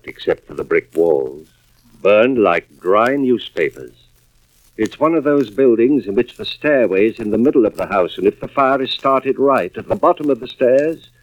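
A man playing a grave, suspicious husband and fire investigator in a mid-century radio drama, with deliberate, dramatic delivery. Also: on the low side, expressive, no echo, close to an everyday voice. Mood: probing, grave. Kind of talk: 1940s-50s radio drama acting, first-person narration. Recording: muffled vintage radio recording with music behind.

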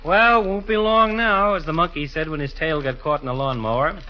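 Man acting chatty American barber, slightly high voice. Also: normal speed, slight background noise, lightly acted. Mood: gossipy. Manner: folksy, slangy, gossipy. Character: chatty, wisecracking working-class small-town barber. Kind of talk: 1940s radio comedy acting. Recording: muffled 1940s radio recording.

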